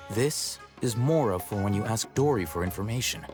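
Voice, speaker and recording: A man playing a composed, analytical young scholar in a video game, speaking in a measured, detached and precise way. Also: fairly low pitch, animated, lightly acted. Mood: cool, detached. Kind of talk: video game character acting. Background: background music.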